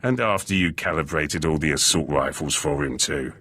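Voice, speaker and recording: An actor playing a gruff, dry military veteran in a video game, speaking in terse, clipped phrases. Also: male voice, very deep voice, moderate pace, fairly bright tone. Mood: wry, matter-of-fact. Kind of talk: video game dialogue acting.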